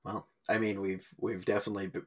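A man's voice: low-pitched, little pitch movement, fast talker, fairly bright tone. A man co-hosting a podcast, chatting casually about movies and directors. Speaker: podcast co-host.

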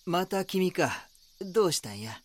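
A gruff local shopkeeper in an audio drama, speaking with a Kansai accent. His voice sits a little high and swings a lot in pitch. He is blunt, defensive and wary of outsiders. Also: very animated, moderate pace, background noise. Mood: defensive, irritated. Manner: blunt, curt, casual dialect.

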